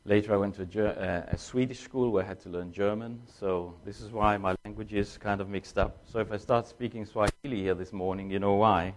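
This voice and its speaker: An older man with a Danish accent, a guest speaker telling his testimony in a conversational sermon and slowing down to read scripture. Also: fairly low pitch, fairly even intonation, a little hiss or hum. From born in China to Danish parents, raised in Tanzania.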